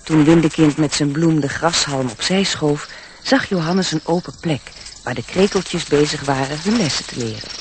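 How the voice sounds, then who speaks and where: A man's voice: a little high, moderate pace, background noise. A man narrating a radio play in a scripted storybook style.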